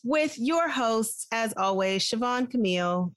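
A woman's voice: a little high, expressive, unhurried, dry recording. A woman co-hosting a podcast, bantering playfully and poking fun at her own slips. Her voice sits mid-height and sounds close and dry, with no room around it. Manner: playful, self-mocking banter. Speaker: podcast co-host. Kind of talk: casual chat.

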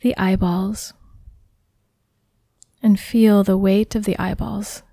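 Woman, meditation guide, soft and soothing, mellow dark tone, breathy phrase endings, unhurried gentle instructions, very dry sound. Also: medium pitch, little pitch movement, dry recording. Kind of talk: guided body scan meditation.

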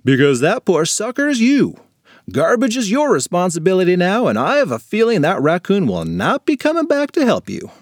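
A man's voice: high voice, huge pitch swings, moderate pace, dry recording, clean studio sound, pitched above the performer's own voice, heavily acted. A man reading a children's story, voicing a wry, teasing father.

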